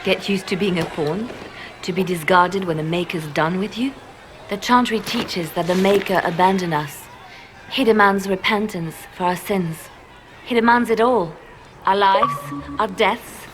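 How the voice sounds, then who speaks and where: Female fantasy game role, played by an actress: disillusioned believer, mid-pitched, grief-stricken and bitter, noisy backdrop. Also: measured pace, natural voice. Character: grieving, embittered woman questioning her faith in a god. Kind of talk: dramatic video game voice acting.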